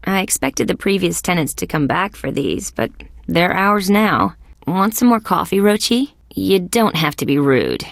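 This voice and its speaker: A woman playing a wry, skeptical young female police detective in a video game, with a deadpan, sardonic delivery. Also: fairly low pitch, unhurried, vocal fry, no room sound, studio quality, exaggerated voice. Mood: dry, sardonic. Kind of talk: scripted game character lines.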